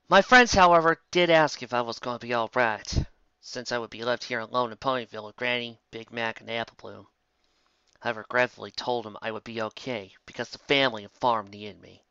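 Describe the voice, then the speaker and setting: Bright-voiced reader of a pony story. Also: medium pitch, smooth, no room sound, lo-fi sound. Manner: plain, steady reading with small stumbles. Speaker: fan fiction reader. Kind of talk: first-person story reading.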